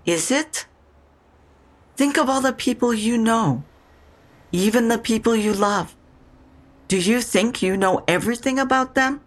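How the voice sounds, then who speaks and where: A woman's voice: on the high side, measured pace, pauses often. An actress playing a guarded, brittle widowed mother of a grown daughter in naturalistic audio drama dialogue. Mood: defensive.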